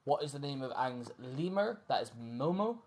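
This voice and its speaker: A man's voice: mid-range voice, animated, moderate pace, a little mellow. A Scottish man hosting a casual live-streamed fan quiz, chatting and reading out questions. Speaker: quiz host.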